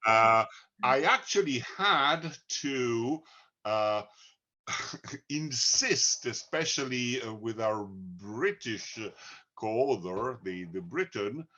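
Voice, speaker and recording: A man with an Italian accent, a book co-author, talking slowly and deliberately with many pauses. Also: medium pitch, lively intonation, sharp tone, muffled recording. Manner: slow, deliberate, frequent "uh" pauses. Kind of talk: conversational talk.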